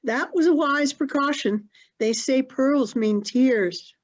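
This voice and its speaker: A woman's voice: high voice, takes it slowly. An actress playing a prim, timid, domestic older society lady, fussy and proper in a stage comedy, heard through dull video call audio.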